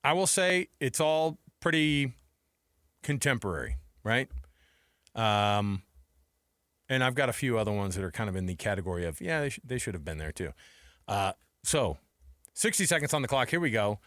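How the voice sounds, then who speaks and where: A man's voice: on the low side, big pitch swings, moderate pace, long pauses, a bit bright, no room sound, clean studio sound. An American man co-hosting a sports radio show with casual, chatty, colloquial banter. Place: radio studio. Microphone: close studio microphone.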